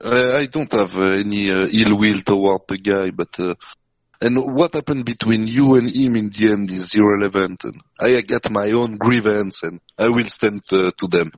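Man, measured, firm, dry dull line. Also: on the low side, no echo.

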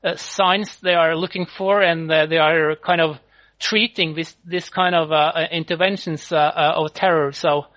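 Halting man with a French accent. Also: fairly high pitch, fairly even intonation, fairly bright tone. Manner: halting, many fillers and restarts. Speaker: podcast guest. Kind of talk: casual online chat. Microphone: video call. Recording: lo-fi call audio.

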